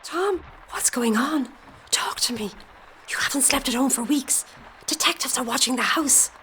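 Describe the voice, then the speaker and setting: Anxious, high-toned wife. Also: female voice, lively intonation, moderate pace, bright, forceful tone, a little hiss or hum. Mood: anxious, pleading. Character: anxious, pleading wife of an Irish rebel. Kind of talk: audio drama dialogue.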